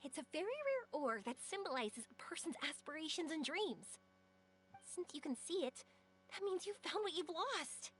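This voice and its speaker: A woman voicing an upbeat, encouraging young woman adventurer in a video game, with an animated, earnest delivery. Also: very high voice, moderate pace, full character voice. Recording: game music behind the voice.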